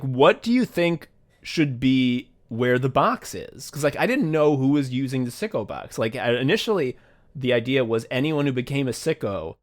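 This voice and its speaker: A man chatting casually as a podcast host, rambling and swearing as he retells things and quotes himself. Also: mid-range voice. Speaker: podcast host and audio drama writer. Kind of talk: casual behind-the-scenes podcast chat.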